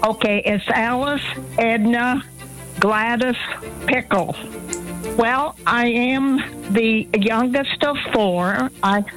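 An older woman, recalling her past in a slow, fond way on a radio show. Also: a little high, a bit bright, rough recording. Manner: slow, fond storytelling with little laughs. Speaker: featured listener. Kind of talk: casual radio interview. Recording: radio broadcast with music underneath.

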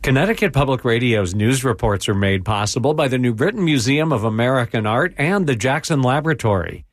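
A man reading a fast, clipped station underwriting announcement. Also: medium pitch, lively intonation, dry recording, studio quality, soft background music. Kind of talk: brisk underwriting read.

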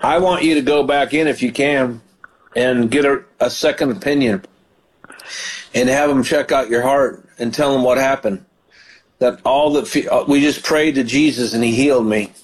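A man's voice: medium pitch, moderate pace, breathy edge. Fervent man praying on live radio. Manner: fervent praying, frequent hallelujahs. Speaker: radio ministry host and pastor. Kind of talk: live call-in prayer show.

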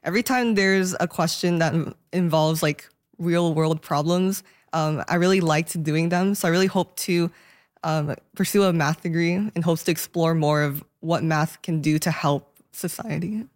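A young woman just out of high school, speaking softly with light fillers as a radio interview guest. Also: normal speed. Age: young adult. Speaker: scholarship-winning high school graduate.

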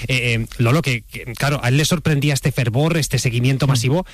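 A man's voice: mid-range voice, a little fast, no room sound, studio quality. A man with an accent from Spain hosting a conversational radio show in a chatty, inquisitive way. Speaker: radio host. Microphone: close studio microphone.

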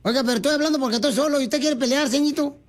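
A man's voice: very high-pitched, very fast. Man teasing on a prank call. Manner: teasing, absurd deadpan jokes. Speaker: radio prank caller. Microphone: phone call.

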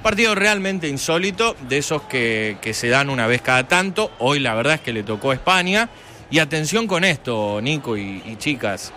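A man giving live football commentary on the radio, animated and building emphasis, stretching his words when he calls a goal. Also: medium pitch, normal speed, slight background noise.

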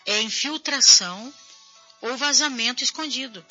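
Hoarse, bright-voiced Brazilian woman host. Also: sits high, normal speed, highs cut off, faint music behind. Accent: Brazilian Portuguese. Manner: chatty, addresses viewers directly. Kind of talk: casual talk show, reading viewer messages.

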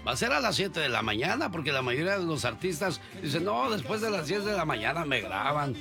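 Radio host guy, fairly high voice, formal announcer way of talking to listeners. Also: very animated, a little fast, gravelly, music in the background. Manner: formal announcer, addresses audience. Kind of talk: radio interview.

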